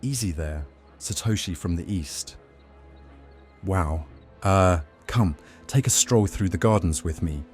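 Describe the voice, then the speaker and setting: A man playing a young Roman vampire master in an audio drama, measured, teasing and faintly threatening. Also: low voice, a little mellow, faint music behind. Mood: amused, casual. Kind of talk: audio drama roleplay acting.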